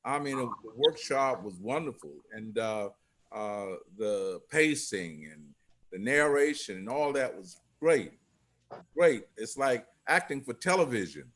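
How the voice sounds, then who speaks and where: A man's voice: mid-range voice, animated, a little slow, fairly bright tone. A man giving casual feedback on a video call in loose, broken-up phrases.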